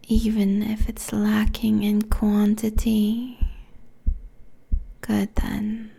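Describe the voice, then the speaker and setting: A woman plays a merciless succubus queen in an intimate ASMR roleplay. Her voice is husky and dark, drawn out very slowly with a creaky edge. She sounds sultry and commanding, right up at the microphone. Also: mid-range voice, heavily acted. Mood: savoring, sultry. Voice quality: breathy, whispery, husky. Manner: slow, commanding, sultry, deliberate. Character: seductive, merciless succubus demon queen draining mortal souls. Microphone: close binaural microphone.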